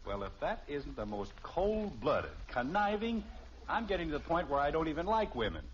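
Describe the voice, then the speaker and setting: Lively, teasing radio-sitcom husband. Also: male voice, fairly high pitch, normal speed. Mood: mock indignant. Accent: American. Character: teasing, indignant but good-humoured middle-aged family husband and father. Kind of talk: mid-century radio sitcom acting. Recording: muffled, noisy old radio recording.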